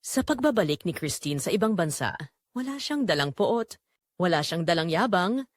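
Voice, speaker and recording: A woman narrating a romantic drama in a measured, sentimental storytelling manner. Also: fairly low pitch, very animated, no room sound, studio quality.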